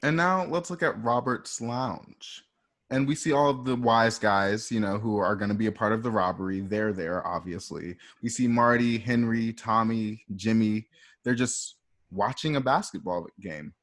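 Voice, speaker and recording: A man's voice: lowish voice, normal speed, fairly bright tone. A man reading script stage directions in a casual, plain-spoken way at an informal table read.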